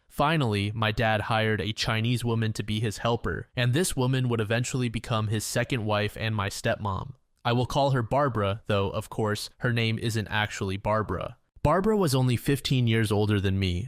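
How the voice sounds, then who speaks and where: A man's voice: lowish voice, dry recording, clean studio sound. A man narrating online stories aloud in a plain, matter-of-fact way. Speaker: reddit story narrator.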